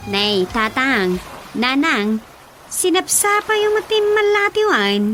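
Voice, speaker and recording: A woman's voice: high-pitched, lively intonation, slow pace. A woman with a Filipino accent playing an inquisitive, puzzled daughter in a rural radio comedy drama. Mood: curious. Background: music.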